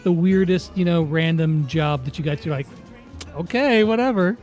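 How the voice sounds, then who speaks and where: A man's voice: a little high, moderate pace, a little mellow, soft background music. A man hosting a casual interview, asking questions in an informal, jokey way. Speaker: interview host.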